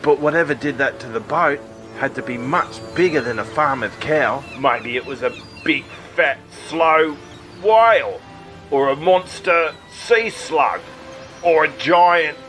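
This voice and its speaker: A man with a bright, fairly high voice plays out a goofy radio serial, bouncing between characters. Soft music sits behind him. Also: expressive, slow. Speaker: comedy radio performer. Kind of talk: comic radio play.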